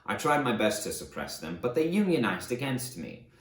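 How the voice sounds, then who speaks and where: A man reading an audiobook as a remorseful fallen conqueror, confessing his atrocities in a grave, measured, emphatic way. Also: medium pitch, big pitch swings, reverberant. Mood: cold, regretful. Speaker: audiobook narrator. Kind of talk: dramatic first-person audiobook reading.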